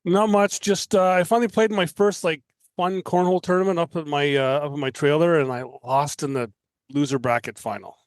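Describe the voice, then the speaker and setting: A man's voice: fairly high pitch, fairly even intonation, brisk, clear, resonant tone, dry recording. A man with a Canadian accent chatting casually as a podcast guest, in a joking, self-deprecating way. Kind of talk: casual chat.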